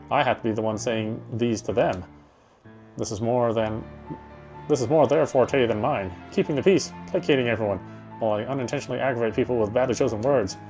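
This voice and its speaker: Male narrator for horror game playthrough: medium pitch, brooding read-aloud delivery, music underneath. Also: a little mellow, poor audio. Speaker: game player reading story text. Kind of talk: reading game narration aloud, expressive first-person.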